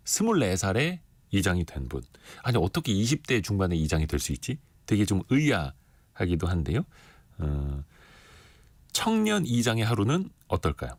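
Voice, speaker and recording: A man's voice: low-pitched, dry recording. A man hosting a radio interview show, reading market figures and chatting with a guest in a conversational manner. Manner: conversational, quick back-and-forth. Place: radio studio.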